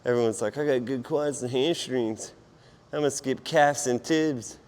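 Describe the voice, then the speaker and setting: A man with a hoarse, partly lost voice talks casually and self-deprecatingly as a fitness vlogger while he exercises. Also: mid-range voice, moderate pace, darkish tone. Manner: informal, self-deprecating, rambling while exerting himself. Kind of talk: casual workout vlog chat while exercising.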